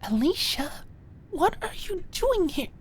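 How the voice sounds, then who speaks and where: Woman acting a high, bashful young woman. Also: big pitch swings, measured pace, very echoey, a little hiss or hum, heavily acted. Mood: flustered surprise. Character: bashful, flustered young woman fashion designer. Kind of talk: audiobook character acting.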